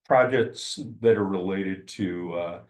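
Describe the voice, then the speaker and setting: An older man from Washington State introduces himself at a public meeting, speaking loudly with halting fillers. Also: low-pitched. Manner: loud, projecting, halting with fillers. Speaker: audience member, cleanup business owner. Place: community meeting room.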